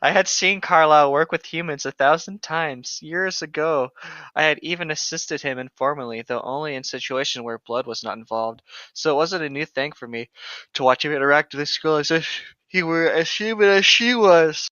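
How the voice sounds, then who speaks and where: A blunt, irreverent man with a bright, forceful and lively voice reads a novel aloud as a podcast co-host, dropping in joking commentary. The recording is dry and slightly dull. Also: a little high, normal speed, no room sound.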